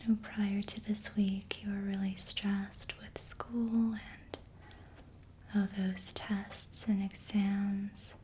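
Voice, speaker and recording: A woman whispers at an unhurried pace with very little rise or fall. She gently guides a listener through relaxation in a breathy, soothing ASMR style. A faint rumbling noise sits behind the voice. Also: level tone. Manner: slow, soothing, gently instructive. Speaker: ASMR reiki practitioner.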